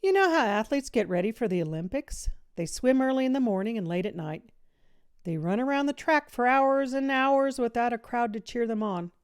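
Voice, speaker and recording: A woman reading a children's story, voicing an energetic, no-nonsense woman coach with an emphatic, driving delivery. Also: on the high side, moderate pace, clean tone, no echo, clean studio sound, pitched above the performer's own voice, close to an everyday voice. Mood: excited, driving. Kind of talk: children's audiobook character voice.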